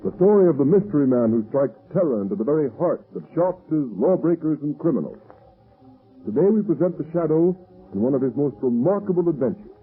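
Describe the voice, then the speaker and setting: A man's voice: on the high side, husky. A man announcing in dramatic, emphatic 1930s radio style, as for a show and its sponsor. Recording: dull, muffled old radio recording.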